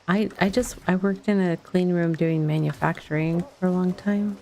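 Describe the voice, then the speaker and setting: A middle-aged woman with a mellow, somewhat low voice talks casually while playing a video game. She rambles without hurry and thinks aloud, with vocal fry in her delivery. There is some noise in the recording. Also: fairly even intonation, normal speed. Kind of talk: casual chat over gameplay.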